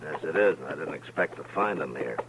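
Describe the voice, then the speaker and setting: A man's voice: a bass voice, expressive, fairly quick. A man playing a dry, firm U.S. Marshal in a western radio drama. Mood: dry, teasing. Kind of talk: 1950s western radio acting. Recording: faintly noisy old radio recording.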